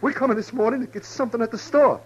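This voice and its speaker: A man's voice: very high-pitched, very fast. An actor playing a desperate young family man, a rancher pleading with urgent stammers in a 1950s radio Western. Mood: panicked, pleading. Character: panicky male rancher, desperate husband and father. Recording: muffled old 1950s radio recording.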